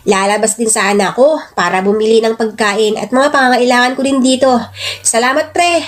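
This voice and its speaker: A woman's voice: a little high, fairly even intonation, a little fast, natural voice. A woman voicing a grateful young male stranger in a radio drama, in a polite, humble manner.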